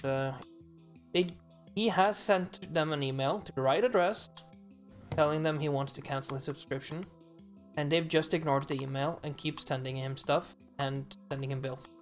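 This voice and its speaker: Male streamer, light soft voice, laid-back chatter over a call, faint background music. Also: fairly high pitch, fairly even intonation, moderate pace. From Norway. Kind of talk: casual chat on stream.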